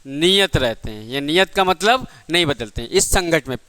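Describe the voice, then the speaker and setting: A man's voice: a little high, lively intonation, normal speed, sharp tone, clear, resonant tone, no echo. A man teaching an exam-prep physics lesson, asking the class questions and answering them himself, calling his listeners "beta" and "bhai".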